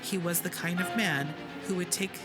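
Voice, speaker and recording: A streamer who is a little sick reads a visual novel aloud in a let's play style. The narration is dramatic, broken up by casual asides, and loud game music plays underneath. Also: medium pitch, fairly even intonation, moderate pace. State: slightly sick. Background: video game music.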